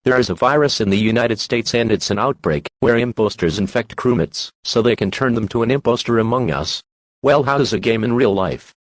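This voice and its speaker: A man's voice: mid-range voice, fairly quick, no room sound. A man reading a scripted emergency alert announcement as narrator.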